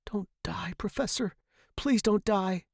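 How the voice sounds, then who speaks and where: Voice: slow pace, darkish tone, no room sound, studio quality. A storyteller voicing a devoted young male music student, earnest, emotional and imploring. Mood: pleading. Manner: earnest, imploring, high and distraught.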